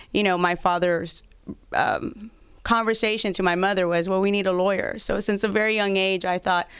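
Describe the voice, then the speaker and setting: A woman's voice: on the low side, a bit bright, smooth, no echo, studio quality. A woman in her thirties from south Texas, answering interview questions as a lawyer and candidate. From south Texas, United States. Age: 30s.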